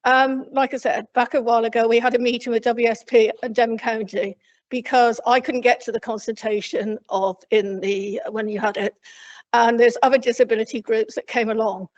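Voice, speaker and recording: A woman from Cornwall speaking loudly and plainly from memory to a council committee, rambling with frequent restarts. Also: a little high, steady tone, normal speed. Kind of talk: unscripted address from memory. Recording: dull lo-fi council meeting recording.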